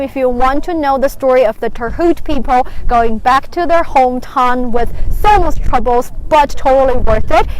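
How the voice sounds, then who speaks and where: A woman's voice: high voice, poor audio. A young woman hosting a live travel stream outdoors by a river at sunset. She chats as she explains things, with some hesitant fillers, and she is cold.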